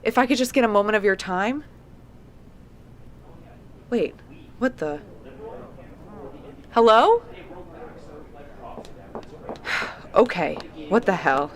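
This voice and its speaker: An actress playing a diligent, polite young researcher, speaking matter-of-factly into a field recorder in an audio drama. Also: female voice, medium pitch, lively intonation, takes it slowly, long pauses. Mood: apologetic, puzzled. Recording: noisy handheld field tape with clicks.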